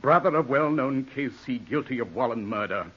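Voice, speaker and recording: Muffled old radio drama, guy playing a haughty cold gentleman bossing his servant, kinda husky voice. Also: medium pitch, animated, normal speed, natural voice. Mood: angry, anguished. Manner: imperious, sharp commands to his servant. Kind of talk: 1940s radio drama acting.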